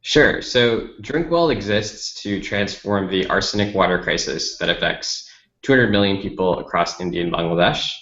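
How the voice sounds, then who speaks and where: Low-voiced American man in a roomy space. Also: normal speed, fairly bright tone. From Dallas, United States. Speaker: company founder, interview guest. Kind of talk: explanatory interview answers. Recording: roomy, slightly dull lo-fi audio.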